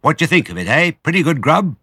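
A crafty, jovial fox father in a storybook reading, raised a little above the reader's own deep voice, as a man puts him on. He coaxes and commands with theatrical self-assurance. The sound is dry and close. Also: animated, normal speed, a bit bright, dry recording. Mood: gleeful, commanding. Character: sly, jovial male fox father, cunning and generous. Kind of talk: audiobook character reading.

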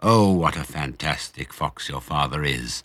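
A man's voice: very deep voice, expressive, unhurried, fairly bright tone, husky, no echo, heavily acted. A man voicing a frail, doting mother fox in a storybook, drawing out words. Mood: proud delight. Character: weak, doting mother fox, stirring to delight.

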